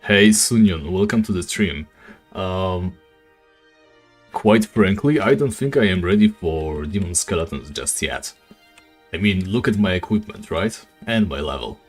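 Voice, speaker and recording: Deep raspy male game streamer. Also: unhurried, faint music behind. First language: not English. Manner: relaxed, rambling, many fillers. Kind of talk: casual live-stream chat while gaming.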